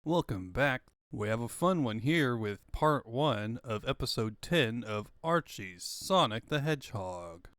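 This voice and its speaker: A man's voice: mid-range voice, expressive, a little slow, few pauses, fairly bright tone, no room sound, studio quality. A man delivering dramatic scripted narration for a comic-book fandub. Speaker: narrator and voice performer.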